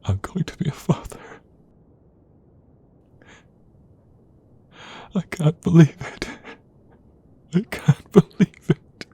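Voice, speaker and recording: A man playing a tender, devoted king in a romantic ASMR audio roleplay, speaking intimately with a soft, breathy voice. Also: on the high side, expressive, heavily acted. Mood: overjoyed. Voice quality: breathy, soft, often whispered. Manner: slow, intimate, emotive delivery. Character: tender, devoted young king in love with his queen.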